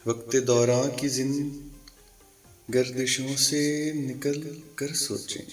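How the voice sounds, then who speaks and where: Slow, declamatory man reciting poetry over music. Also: mid-range voice, level tone, recorded from a distance. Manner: declamatory, deliberate, repeats each line with pauses. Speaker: poet reciting own ghazals.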